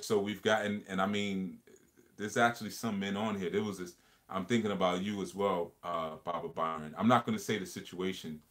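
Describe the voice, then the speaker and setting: Earnest man with a low voice. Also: fairly bright tone. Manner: earnest, reflective, repeats phrases while explaining. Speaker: livestream host. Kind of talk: casual livestream discussion.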